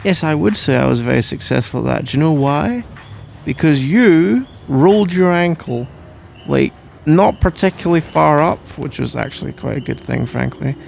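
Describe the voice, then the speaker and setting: A man's voice: fairly high pitch, lively intonation, normal speed, creaky, darkish tone, slight background noise. A man playing a sleepy, grumbling, playful husband in an ASMR roleplay, rambling and bantering with mock indignation. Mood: teasing, mock-boastful. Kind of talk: ASMR roleplay, improvised-sounding one-sided conversation.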